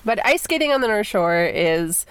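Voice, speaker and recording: A woman in her thirties from Minnesota hosts a casual podcast while nursing a cold. Her voice is congested and sits at a middle pitch. She rambles along with fillers and restarts. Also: very animated, normal speed, a bit bright, clean tone, no room sound. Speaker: podcast host. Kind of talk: casual chat.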